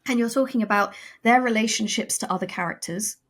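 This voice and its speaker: A woman's voice: on the high side, fast talker, a bit bright. A woman who is a guest on a podcast, speaking in a firm, emphatic and articulate way. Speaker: podcast guest, audio drama writer. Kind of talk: casual conversational interview.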